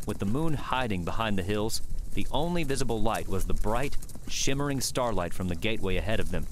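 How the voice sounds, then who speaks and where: A man narrating a fiction audiobook with measured, scripted storytelling. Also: on the low side, a little hiss or hum.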